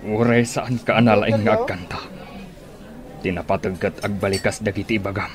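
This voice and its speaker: Man playing lowish defiant old guy, firm n insistent, ilocano radio drama, crowd noise behind. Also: fairly even intonation, normal speed, lightly acted.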